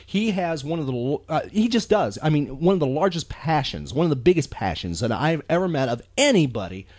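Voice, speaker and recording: A man's voice: a little high, sing-song, fairly quick, a little mellow, no echo. An American man co-hosting a podcast in a casual, emphatic, earnest and rambling way. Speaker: podcast co-host. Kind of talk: casual chat.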